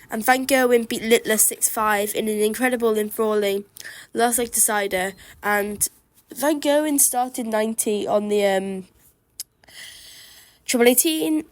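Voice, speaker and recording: A woman's voice: on the high side, dry recording. Congested woman hosting a podcast. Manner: chatty, enthusiastic darts fan. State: congested from a cold. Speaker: podcast host. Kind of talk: solo podcast recap. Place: outdoors.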